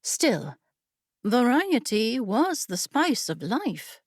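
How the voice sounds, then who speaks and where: A woman narrating an audiobook story in a measured, expressive storytelling manner. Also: on the high side, slightly rough, no echo, clean studio sound. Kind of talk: scripted fiction narration.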